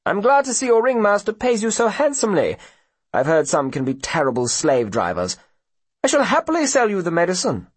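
A man's voice: high voice, wildly swooping pitch, fairly quick, husky, dry recording, pitched above the performer's own voice, heavily acted. A man voicing a smug, villainous profiteer with a mocking, pompous and falsely genteel manner. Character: sneering villainous profiteer, smug, mock-genteel older gentleman.

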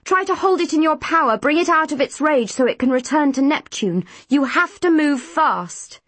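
A woman's voice: high-pitched, animated, moderate pace, dry recording, pitched above the performer's own voice. A woman voicing a commanding male mentor in a children's story, urgent and instructing. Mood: urgent coaching. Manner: forceful, instructing.

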